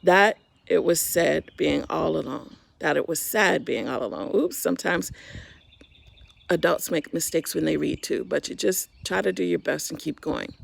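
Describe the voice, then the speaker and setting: A woman reading a children's story aloud in an expressive, simple storytime manner, talking directly to young listeners. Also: sits low, normal speed, creaky.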